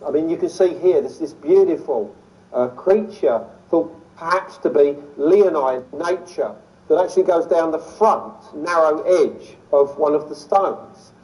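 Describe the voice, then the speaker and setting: A man's voice: fairly high pitch, a little slow. A man from London with a London accent, giving an illustrated lecture in an enthusiastic manner. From London, England. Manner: enthusiastic, easily excited. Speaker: lecturer on ancient sites. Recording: voice distant from the mic.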